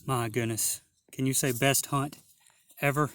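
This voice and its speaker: A man narrating a metal detecting hunt outdoors in a field, hoarse and awed, talking slowly with many exclamations. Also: medium pitch, steady tone, fairly bright tone, dry recording. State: hoarse, losing his voice. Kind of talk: casual outdoor narration to camera.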